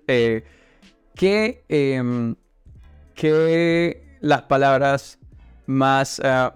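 A man's voice: mid-range voice, clear, resonant tone, dry recording. A man hosting a casual bilingual interview, hesitant and often repeating his words. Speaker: interview host.

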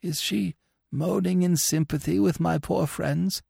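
Man playing an anguished old priest in a storybook; hushed, pleading, gravelly edge. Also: high-pitched, fairly even intonation, measured pace, no echo, clean studio sound, pitched above the performer's own voice, exaggerated voice. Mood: troubled. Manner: hushed, pleading inner speech. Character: devout elderly village priest, anguished and pious. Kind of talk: audiobook reading.

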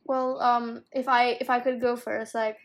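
A woman's voice: on the high side, level tone, measured pace. A woman raised in Singapore, co-hosting a casual discussion podcast and talking hesitantly, with many fillers and restarts. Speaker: podcast co-host.

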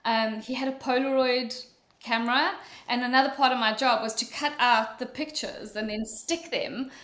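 A woman's voice: a little high, normal speed. South African-accented woman, echoey room. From Mauritius. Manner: relaxed storytelling, frequent ums. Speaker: podcast guest, recruiter. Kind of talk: conversational interview answer.

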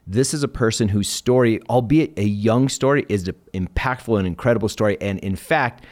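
A man's voice: on the low side, brisk, a little mellow. A man hosting a podcast interview, effusive and enthusiastic as he introduces and questions his guest. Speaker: podcast host.

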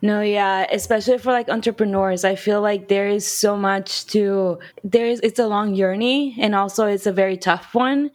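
A woman's voice: mid-range voice, steady tone, moderate pace, few pauses. A woman co-hosting a podcast, reading a scripted intro and then asking the guest friendly questions. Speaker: podcast co-host.

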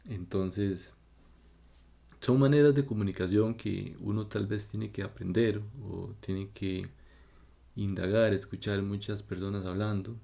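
A Costa Rican man speaks in a low, gravelly voice. He explains things patiently in short, measured phrases, as if talking to learners. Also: fairly even intonation, warm tone. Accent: Costa Rican Spanish. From Costa Rica. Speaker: podcast host. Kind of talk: slow explanatory monologue for learners.

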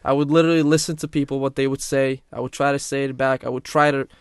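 A man's voice: medium pitch, flat delivery, quick pace, clear, resonant tone, dry recording, clean studio sound. A young man telling his story in a casual podcast chat, earnest and full of restarts. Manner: earnest, many restarts and "literally". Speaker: guest.

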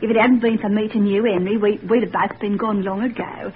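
A woman's voice: mid-range voice, fairly even intonation, fairly quick, natural voice. An actress playing an anxious, devoted young working-class village girl, breathless and pleading. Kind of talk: 1940s radio acting. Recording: tinny old radio broadcast, faint hiss.

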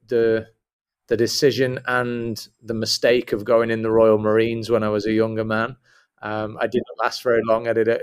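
Flat-toned man from northern Midlands England. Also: mid-range voice, creaky. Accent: English, northern Midlands. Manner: self-deprecating, repeats words. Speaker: interview guest, school principal. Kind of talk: casual reflective interview talk.

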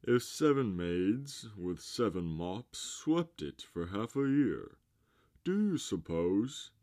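A man voicing a pompous, coaxing walrus from a storybook, with a grand, drawn-out, theatrical delivery. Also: lowish voice, very animated, darkish tone, voice pushed up, full character voice. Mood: musing. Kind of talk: storybook character reading.

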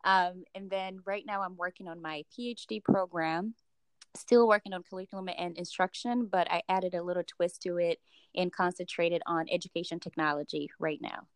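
A woman's voice: lowish voice, steady tone, normal speed, clear, resonant tone, dry recording, low-quality recording. A young woman from East Africa whose first language is Luganda, a doctoral student speaking as a podcast guest in an upbeat, casual way, with frequent fillers and laughs. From East Africa (Uganda, Rwanda). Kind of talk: casual interview chat.

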